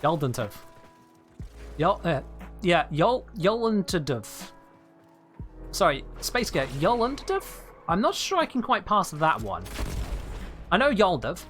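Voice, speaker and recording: A man's voice: high-pitched, very animated, unhurried, long pauses, a bit bright, soft background music. A British man chatting casually while he livestreams a video game, rambling and correcting himself as he goes. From United Kingdom. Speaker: livestream gamer. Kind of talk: casual chat while gaming.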